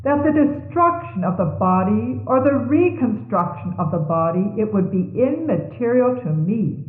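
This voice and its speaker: A woman reading religious messages aloud in a solemn, measured and formal manner, on a 1970s tape. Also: on the high side, lively intonation. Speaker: religious reader. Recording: 1970s tape, thin phone-like band, echoey room.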